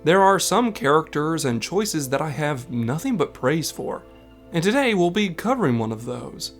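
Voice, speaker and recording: A man delivering scripted narration as the host of a video essay. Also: medium pitch. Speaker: video essay narrator and game content creator.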